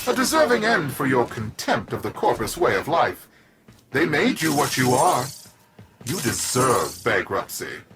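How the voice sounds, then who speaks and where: A man's voice: medium pitch, sing-song, a little slow. A man playing a smug, grandiose, greedy corporate villain in a video game, speaking in a theatrical, gloating way. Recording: music behind the voice.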